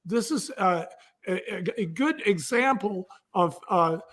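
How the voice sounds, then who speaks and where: A man's voice: sits high, expressive, unhurried, a bit bright. A man speaking as an entrepreneur guest, explaining conversationally with vivid examples.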